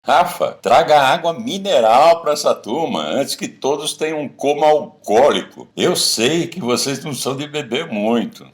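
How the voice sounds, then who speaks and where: A man's voice: mid-range voice, animated, moderate pace, few pauses, lightly acted. A man with a Brazilian accent playing a middle-aged guy telling old confessions to drinking buddies in a casual radio drama. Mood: teasing. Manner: colloquial, storytelling. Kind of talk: radio drama acting, casual bar chat.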